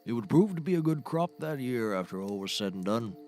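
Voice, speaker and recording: A man's voice: mid-range voice, big pitch swings, fairly quick, a little mellow, faint music behind, natural voice. A man playing a folksy, eccentric, self-serious storyteller in a scripted comedy, rambling in a grandiose way. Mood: dramatic storytelling. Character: folksy, eccentric, self-serious male roast speaker telling tall tales. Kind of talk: scripted audio comedy acting.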